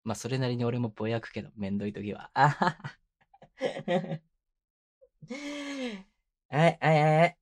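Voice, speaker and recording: A young boyfriend, played by a male voice actor, speaks up close in a mid-pitched voice. He is tender and doting, with a teasing, mock-scolding edge and casual masculine phrasing. It is intimate binaural roleplay audio made for headphones. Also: animated, measured pace, long pauses. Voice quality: medium-low voice. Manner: casual, rough-edged masculine speech, soft laughs. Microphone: close binaural microphone.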